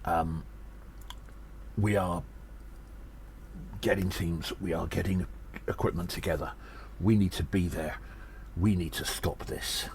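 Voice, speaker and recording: A low-voiced, earnest gnome adventurer acted by a man delivers an audio drama report. He sounds worried and urgent, with hesitant phrasing. There is noticeable noise in the recording. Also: fairly even intonation, takes it slowly, gravelly, pauses often, heavily acted. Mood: grave, determined. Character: earnest, worried gnome adventurer rallying allies against an attack. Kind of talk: audio drama monologue, spoken as a personal report.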